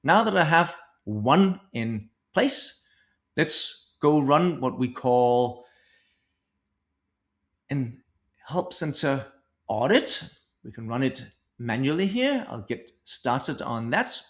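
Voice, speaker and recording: A man giving a conversational software product demo, explaining informally step by step. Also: medium pitch, sing-song, slow pace.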